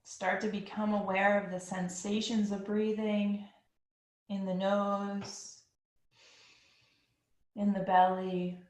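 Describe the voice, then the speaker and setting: A woman teaching a yoga class, guiding breathing in a slow, calm and soothing manner. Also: medium pitch. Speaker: yoga instructor. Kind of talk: guided yoga breathing instruction. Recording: echoey room.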